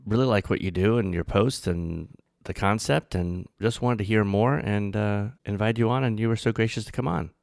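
A man's voice: fairly low pitch, moderate pace, creaky, a little mellow, no room sound, studio quality. A man co-hosting a podcast, welcoming a guest in a friendly, eager way. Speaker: podcast co-host.

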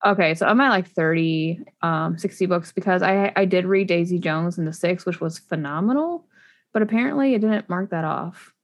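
A woman in easy, rambling conversation, her voice carrying a steady gravelly fry. She stops and restarts her sentences often and fills the gaps with ums and likes, the way a relaxed podcast co-host talks. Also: on the low side, normal speed. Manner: chatty, rambling, many fillers and restarts. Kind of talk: casual chat about books.

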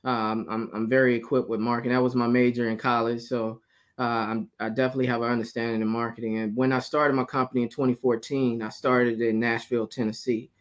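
A man in his forties, from Alabama, talking as an interview guest about his business. He speaks easily, laughing now and then and pausing with many "uh"s. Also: medium pitch, flat delivery. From Alabama, USA. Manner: easygoing, laughs often, many "uh" pauses. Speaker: guest, cigar company owner. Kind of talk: casual interview.

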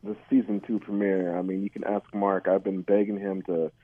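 A man from California calling into a radio show on the phone, eager and excited. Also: low-pitched, moderate pace, dry recording. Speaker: caller. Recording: dull, dry phone-call sound.

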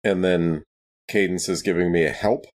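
A man's voice: low-pitched, fairly even intonation, normal speed, a little mellow. A man playing a tabletop game, talking through his options and checking the rules aloud. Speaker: player. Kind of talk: tabletop roleplay chat.

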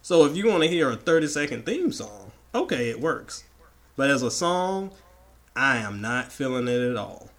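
A man from the American South co-hosting a podcast, hoarse and raspy from the flu, joking and opinionated in casual chat. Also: medium pitch, very animated, normal speed, fairly bright tone. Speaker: podcast co-host.

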